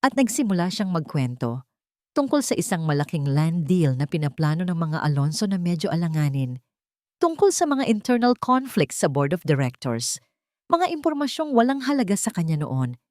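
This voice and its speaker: A Filipino woman reads a dramatic love story. Her voice sits slightly low and is recorded very dry, with no room sound. She tells it in measured, dramatic phrases. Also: big pitch swings, a little mellow, no echo. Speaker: story narrator. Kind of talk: dramatic scripted narration of a romance story.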